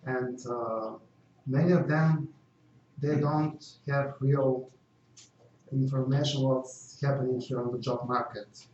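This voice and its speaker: A man's voice: mid-range voice, steady tone, takes it slowly, a little mellow, distant mic, a little hiss or hum. A middle-aged man from Bulgaria with a Bulgarian accent, a non-native English speaker, giving an earnest talk as guest speaker and answering questions. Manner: earnest, repeats phrases with small slips of grammar. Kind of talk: prepared community talk and Q&A. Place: meeting room. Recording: dull, echoey live stream from afar.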